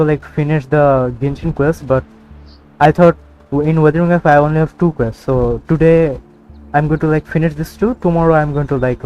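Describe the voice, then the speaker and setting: A man's voice: on the high side, darkish tone, highs cut off. Rambling young gamer man. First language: Bengali. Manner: rambling and casual, full of fillers. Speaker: gaming streamer. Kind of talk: casual gameplay commentary.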